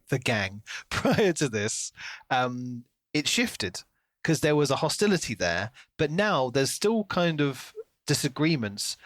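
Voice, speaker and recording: Male podcast co-host, medium pitch, informal and chatty, thinking aloud, recorded close and dry. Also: a bit bright, no room sound. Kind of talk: casual book discussion.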